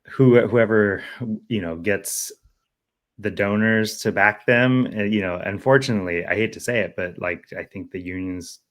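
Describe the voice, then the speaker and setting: Man, American accent, low pitch, casual discussion, podcast co-host, thinks aloud, seeks agreement. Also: normal speed, fairly bright tone.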